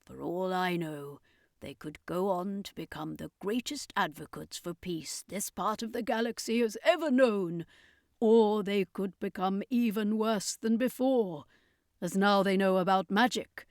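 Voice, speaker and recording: A woman's voice: mid-range voice, a little slow, a little mellow, no room sound, studio quality, natural voice. A woman voicing a boastful, theatrical male circus master in an audiobook, pompous and proclaiming. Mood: reflective.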